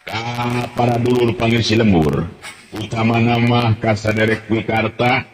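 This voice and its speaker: A man's voice: mid-range voice, steady tone, unhurried, voice pushed up, natural voice. A male storyteller voicing an older village head who gives a formal speech to the villagers, on an old, echoey, hissy radio recording. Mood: solemn.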